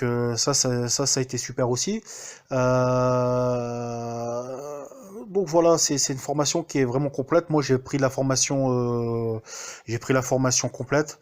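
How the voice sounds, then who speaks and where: Un homme français qui témoigne de manière spontanée, en hésitant souvent et en reprenant ses phrases. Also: male voice, medium pitch, a little mellow. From France. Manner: hesitant, many fillers and restarts. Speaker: student giving a testimonial. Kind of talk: casual spoken testimonial.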